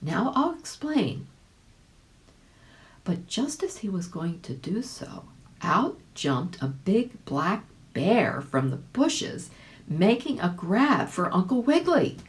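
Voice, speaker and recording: A woman's voice: medium pitch, very animated, slow. A woman reading aloud as a volunteer, narrating a children's storybook expressively.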